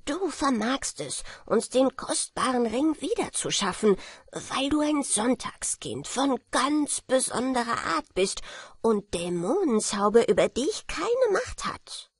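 A solemn little dwarf king from a storybook, acted out by a woman. The voice is husky and lifted, swinging widely in pitch. He pleads in earnest, formal phrases. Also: big pitch swings, slow pace, dry recording, studio quality, heavily acted. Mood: grave, pleading. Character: solemn, pleading dwarf king, small fairy-tale male ruler.

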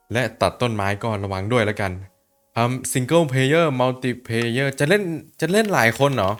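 A young man reacting to a video in a loose, chatty way, full of quick exclamations and slang. His pitch sits in an ordinary middle range, with soft music faintly behind him. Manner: excitable, exclaims often, slangy. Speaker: YouTuber reacting to an animation. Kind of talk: casual reaction commentary.

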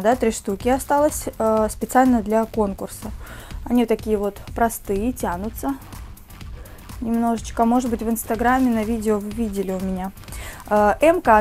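A young woman vlogger talks chattily to camera on a lapel mic in a small room, a touch hoarse from a cold. Her voice sits fairly high, and she fills her talk with little pauses and cosy diminutives. Faint music plays behind her. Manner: chatty, with fillers and soft diminutives. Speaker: beauty vlogger. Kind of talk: casual chat to camera.

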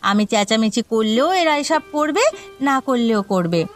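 A woman's voice: fairly high pitch, lively intonation, moderate pace, lightly acted. A woman voicing a nagging, thrifty village housewife in a cartoon, with music behind her. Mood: scolding. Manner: scolding. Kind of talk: cartoon dialogue acting. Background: background music.

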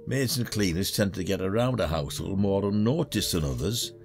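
A man's voice: deep voice, expressive, fairly quick, creaky, music in the background. A man acting as a wry, patronising retired detective in an audio drama, with a folksy, teasing way of talking. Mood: probing.

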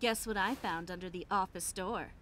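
An actress playing a cool, businesslike young demon hunter with a curt, composed manner in a video game cutscene. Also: female voice, lowish voice, normal speed, a little hiss or hum, natural voice. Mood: cool, brisk. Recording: loud music behind the voice.

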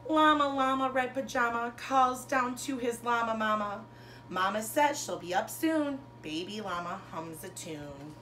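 A woman in her thirties, a teacher reading a children's storybook aloud to pupils with expressive, sing-song phrasing and emphasized words. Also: on the high side, unhurried, slight background noise. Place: bedroom, indoors. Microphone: camera a couple of metres away.

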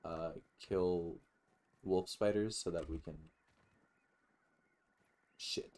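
A man giving casual, halting gameplay commentary as host, with some offhand swearing. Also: deep voice, fairly even intonation, a little mellow. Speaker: gaming video host.

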